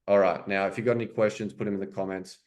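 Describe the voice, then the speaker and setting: Male language coach, casual explainer, slightly low voice. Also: steady tone, fast, a little mellow. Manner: explanatory, repeats "right?" and "okay?". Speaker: French coach. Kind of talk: casual teaching commentary.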